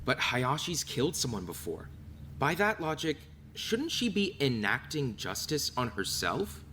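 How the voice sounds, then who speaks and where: An actor voicing a skeptical, protective young male student in an animated murder mystery. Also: mid-range voice, a little slow, slight background noise. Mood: protesting.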